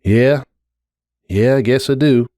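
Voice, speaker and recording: A man's voice: mid-range voice, slow, dark tone, echoey room, in a large hall, pitched above the performer's own voice, close to an everyday voice. A man voicing a steadfast, plain-spoken female farm pony in a dramatic story reading, folksy and full of regret. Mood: resigned.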